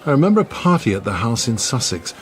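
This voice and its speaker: An actor playing a wry, urbane older academic reminiscing in a radio drama. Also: male voice, mid-range voice, sing-song, quick pace, faint music behind, close to an everyday voice. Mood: wry reminiscence. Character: older urbane academic man, wry about an old affair.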